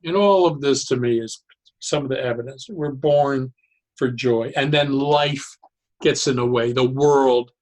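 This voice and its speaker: A man explaining ideas conversationally as an interview guest, in an animated, halting way, often repeating and interrupting himself. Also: medium pitch, poor audio. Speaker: podcast guest, psychologist turned joy advocate.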